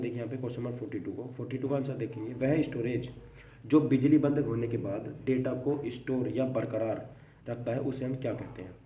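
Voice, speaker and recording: A man teaching an informal exam-prep lesson, reading out quiz questions and answers and mixing in English terms. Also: medium pitch. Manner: repeats catchphrases, mixes English terms into explanations. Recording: dull, distant, echoey room with faint noise.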